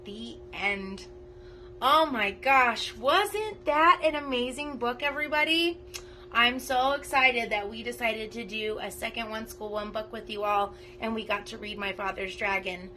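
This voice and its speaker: A woman reading a children's storybook aloud in an animated, emphatic way. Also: fairly high pitch, unhurried, slight background noise. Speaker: parent volunteer reading aloud to schoolchildren. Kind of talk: expressive children's storybook narration.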